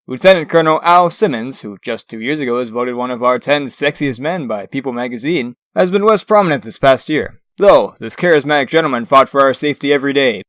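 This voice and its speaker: A man's voice: medium pitch, fairly bright tone, dry recording, studio quality, lightly acted. A man playing an earnest TV newscaster in a comic dub, delivering a formal, solemn and reverent scripted report.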